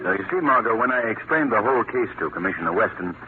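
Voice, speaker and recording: An actor playing a suave, self-assured gentleman detective, measured and urbane, in an old-time radio drama. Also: on the low side, expressive, phone-quality sound, music in the background, lightly acted. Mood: calm, explanatory. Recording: phone-thin vintage radio, faint noise.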